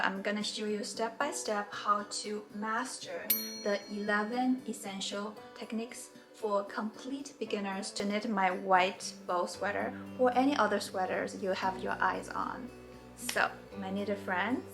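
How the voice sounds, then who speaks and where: A woman in her forties who grew up in China and speaks English as a second language after Mandarin, guiding beginners through knitting. Her delivery is gentle, earnest and encouraging, pitched in an ordinary middle register. Faint music plays quietly underneath. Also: fairly even intonation, a little slow. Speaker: knitting tutorial host. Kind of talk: friendly instructional talk.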